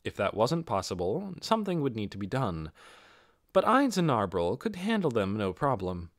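A man's voice: mid-range voice, wildly swooping pitch, moderate pace, no room sound, clean studio sound. A man reading a fantasy novel as a scripted audiobook narration.

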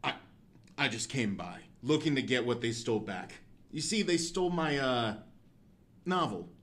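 An actor playing a sly old gravedigger in an audio horror drama, speaking with slow, sinister politeness. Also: male voice, medium pitch, expressive, fairly bright tone, lightly acted. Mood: falsely genial. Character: menacing old gravedigger, male, sly, falsely genial.

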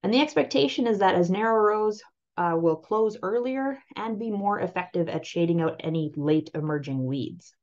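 A woman with a Canadian accent presenting on-farm crop trial results over a slide presentation, explaining them clearly and steadily. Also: medium pitch, animated, normal speed, a little mellow. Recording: slightly muffled voice-over.

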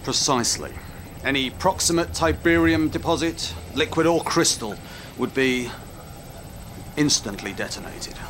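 Male scientist played by an actor, higher-pitched, serious, measured technical briefing in a game, noisy background. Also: lightly acted. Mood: grave, precise. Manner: precise, measured. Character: precise, serious male military research scientist.

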